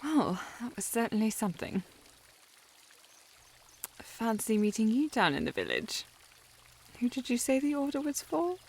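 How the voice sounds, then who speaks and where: A woman's voice: a little high, big pitch swings, a little slow, vocal fry, pauses often, slightly rough, heavily acted. Woman playing a snooty, teasing upper-class young lady. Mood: arch, teasing. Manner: haughty, formal, arch, teasing. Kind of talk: scripted historical audio roleplay, ASMR.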